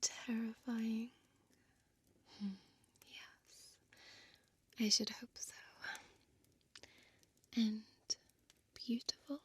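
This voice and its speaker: A woman playing a seductive, possessive female vampire in an intimate whispered audio roleplay, with slow, deliberate, teasing formal speech, close to the microphone. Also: fairly high pitch, level tone, no room sound, exaggerated voice. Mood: teasing, vain. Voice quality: breathy whisper. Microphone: close dry studio microphone.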